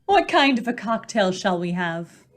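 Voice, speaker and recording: A woman playing a composed, affectionate, knowing wife in an old-time radio play, gentle and coaxing. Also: mid-range voice, very animated, a little slow, soft background music. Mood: quietly enigmatic.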